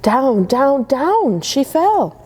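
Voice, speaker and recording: A woman reading Christmas stories aloud to children in a gentle, soothing way. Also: high-pitched, very animated, drawn-out words, background noise. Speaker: storyteller.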